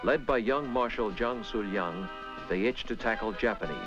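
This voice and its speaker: Loud music runs behind a man narrating a 1960s documentary. His voice is fairly low and grave, with measured, clipped phrasing, on a dull vintage film soundtrack. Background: loud musical score.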